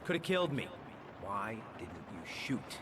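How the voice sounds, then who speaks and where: An actor playing an aggressive middle-aged police lieutenant in a video game, blunt and confrontational. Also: male voice, medium pitch, big pitch swings, measured pace, crowd noise.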